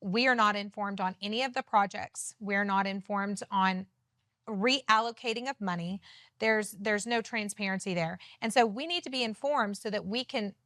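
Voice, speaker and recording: A woman with a loud voice, speaking as a city council candidate in an earnest, emphatic TV interview. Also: mid-range voice, normal speed, no echo, studio quality. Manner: emphatic, earnest campaign talk. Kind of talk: TV studio interview.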